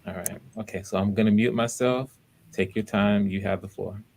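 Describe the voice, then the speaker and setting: A man with a fairly low voice hosts live auditions. He talks casually and with enthusiasm, giving encouraging feedback in a loose, conversational way. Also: steady tone, moderate pace, vocal fry, a little mellow, slight background noise.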